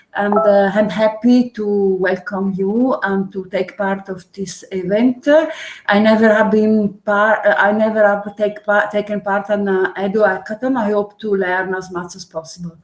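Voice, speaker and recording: A woman from northern Italy introduces herself on a video call, stumbling and restarting words. Her voice carries a roomy echo from the space she is in. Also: medium pitch. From Trento, Italy. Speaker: teacher and researcher. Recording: echoey room over a call.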